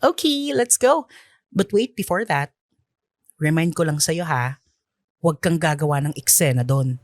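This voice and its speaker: A woman's voice: low-pitched, lively intonation, unhurried, dry recording, clean studio sound. A woman playing a sassy, teasing best friend in a radio drama, with playful, exaggerated, slangy delivery. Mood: playful, bossy.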